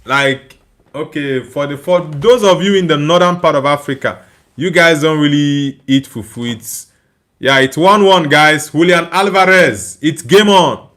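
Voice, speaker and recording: A man's voice: fairly high pitch, a little slow, a bit bright. Chatty man reacting to a match. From Nigeria. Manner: chatty, laughs often while reading viewer comments. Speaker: watchalong streamer. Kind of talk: casual live match reaction and chat reading.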